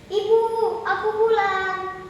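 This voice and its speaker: A woman's voice: high voice, steady tone, a little fast, dull audio, natural voice. A female storyteller voicing a sweet, obedient young village daughter in a folktale, earnest in tone. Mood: relieved. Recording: echoey hall, distant mic, faint noise.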